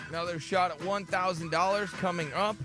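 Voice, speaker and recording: A man's voice: sits high, fairly even intonation, brisk, music in the background. A man hosting a radio show, chatting casually and complaining about himself with self-deprecating humor; his microphone sounds thin and tinny. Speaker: radio host. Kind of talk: casual morning radio banter. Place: radio studio. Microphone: studio radio microphone.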